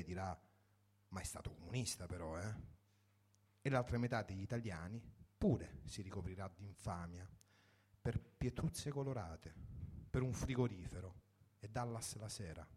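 A man's voice: lowish voice, darkish tone, husky. Man giving a wry literary reading. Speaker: reader of a first-person story. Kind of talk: dramatic literary reading for radio.

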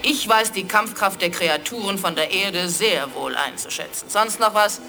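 An actress playing a scornful, imperious alien starship commander in a 1980s German radio play. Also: female voice, mid-range voice, moderate pace, vocal fry, music in the background, lightly acted. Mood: dismissive scorn. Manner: imperious, condescending, dismissive.

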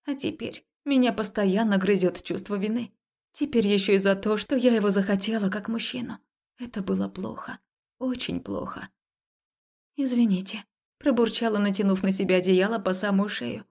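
A woman narrating a first-person romance story as an audiobook, expressive and confessional, acting out the dialogue. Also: medium pitch, brisk.

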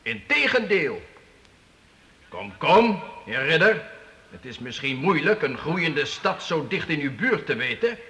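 A commanding medieval count holding court. His voice is fairly high and bright, swinging widely as he gives stately, formal judgements in a radio drama with faint background noise. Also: very animated, unhurried, long reverb tail, heavily acted. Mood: measured, conciliatory. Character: imperious but fair-minded medieval count holding court. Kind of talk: Dutch historical radio play acting. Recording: faintly noisy old radio play.

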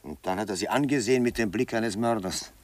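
An actor playing an unruffled, gossipy local bystander who chats and explains in a 1950s German radio drama. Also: male voice, fairly low pitch, fast, no room sound, natural voice. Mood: knowing, gossipy. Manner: chatty, explaining. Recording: slightly distant, dry 1950s radio recording.

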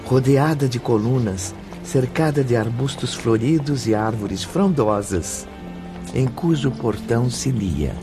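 A man narrating a spiritual radio drama as a solemn storyteller, with measured, descriptive delivery. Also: medium pitch, expressive, music in the background, natural voice. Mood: solemn, reverent.

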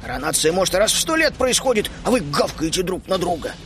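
A man's voice: high-pitched, sing-song, fast, fairly bright tone, faint music behind, exaggerated voice. A man doing a grumpy old gnome. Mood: scolding. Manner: gruff, scolding.

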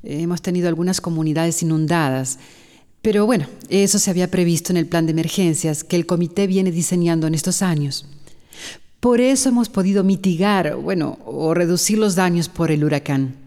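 A woman with a Costa Rican accent playing an emergency committee doctor giving calm, measured advice in a radio drama. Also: on the low side, in a large hall, lightly acted.

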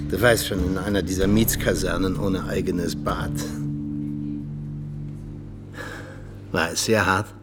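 An actor playing an embittered, world-weary older Soviet ex-agent and mentor in a radio drama, speaking emphatically. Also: male voice, lowish voice, natural voice. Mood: bitter. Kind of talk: radio drama acting, monologue-like confession.

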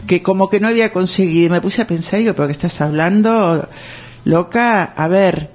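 A woman's voice: lowish voice, a little fast, darkish tone. An older woman with a Rioplatense accent, a co-host musing earnestly on a radio chat, with many tag questions. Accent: Rioplatense Spanish.

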